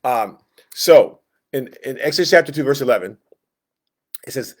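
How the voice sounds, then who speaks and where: A man teaching Sunday school in a conversational, halting way, reading verses and explaining them, often backing up to rephrase. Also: medium pitch, animated, a little mellow. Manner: conversational, halting, backtracks and rephrases, acts out inner thoughts. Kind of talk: informal Bible lesson, reading verses and explaining.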